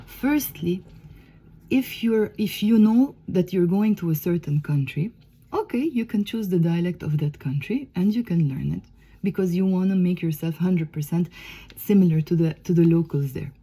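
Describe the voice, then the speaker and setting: A woman's voice: fairly low pitch, animated, normal speed, mellow, breathy edge. A Lebanese woman, a native Arabic speaker, explaining things as a teacher in a conversational, emphatic way, often checking in with "okay?".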